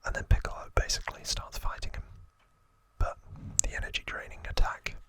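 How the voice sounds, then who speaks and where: A man hosting ASMR, narrating trivia in a breathy, hushed whisper with unhurried pauses. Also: dry recording. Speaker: ASMR host.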